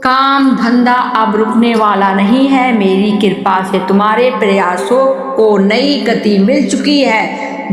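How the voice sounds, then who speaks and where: Woman doing a calm solemn goddess, slow slow blessing talk, big echoey reverb. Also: fairly high pitch. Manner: solemn, measured blessing-like address. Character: benevolent goddess, solemn, reassuring female deity. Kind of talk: devotional message reading. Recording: heavy echo, long reverb, music behind.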